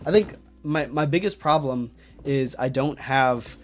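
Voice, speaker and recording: A man's voice: medium pitch, measured pace. A young man hosting a casual livestream chat, talking with enthusiasm and rambling through fillers and restarts. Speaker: host of a toy collecting livestream.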